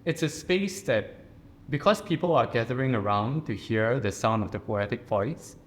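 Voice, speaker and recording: A poet from Singapore with a Singaporean accent, telling a personal story in a measured, earnest way on a panel. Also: male voice, mid-range voice, animated. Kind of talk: personal reflective talk. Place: reception room at a residence.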